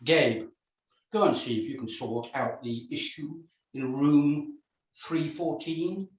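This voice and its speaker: Male actor as stern, pompous hotel boss, slow formal delivery, slightly high male pitch, roomy echoing sound. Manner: formal, pompous, deliberate speechmaking. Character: stern, self-important male hotel manager. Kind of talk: scripted screenplay read-through.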